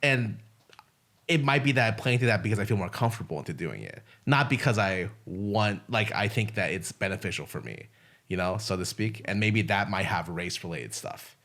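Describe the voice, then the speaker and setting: A man's voice: on the low side, lively intonation, brisk, fairly bright tone. A man co-hosting a podcast, chatting casually and frankly and swearing freely. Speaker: podcast co-host.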